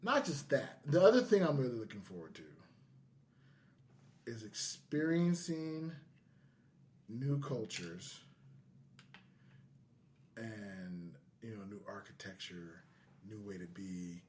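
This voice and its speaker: A man's voice: on the low side, expressive, slow, long pauses, a little mellow, a little hiss or hum. A man chatting casually as a podcast host, speaking earnestly and with enthusiasm.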